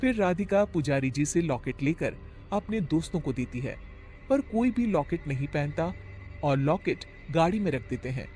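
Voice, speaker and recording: A man narrates a cartoon horror story steadily, his voice a touch high. Soft music runs faintly underneath. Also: lively intonation, normal speed.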